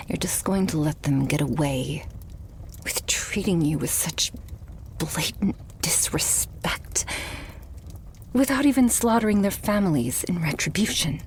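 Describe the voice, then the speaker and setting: Woman playing a slow, breathy, possessive vampire girlfriend. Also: low voice, animated, heavily acted, rough recording. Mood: menacing, vengeful. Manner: slow, breathy, drifting into whispers. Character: devoted, possessive, murderous vampire girlfriend, tender yet menacing. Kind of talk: intimate ASMR roleplay. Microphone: close microphone.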